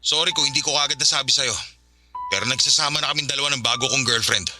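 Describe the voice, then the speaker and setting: A man's voice: medium pitch, steady tone, fast talker, sharp tone, soft background music. An actor playing a firm, exasperated young father in a radio drama, curtly refusing his former partner. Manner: curt, firm.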